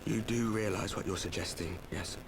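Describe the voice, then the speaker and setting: An actor playing an embittered, sardonic warrior in a fantasy video game, speaking bluntly and dramatically. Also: male voice, on the low side, fairly even intonation, normal speed, background noise, close to an everyday voice. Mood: grim, resolved. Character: embittered, sardonic male warrior from a doomed world.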